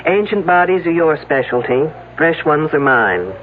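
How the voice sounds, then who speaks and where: A woman's voice: sits low, big pitch swings, moderate pace. A woman playing a self-assured, dry female detective in a radio drama. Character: self-assured, wry female detective, expert on fresh corpses. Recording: narrow, noisy old radio broadcast.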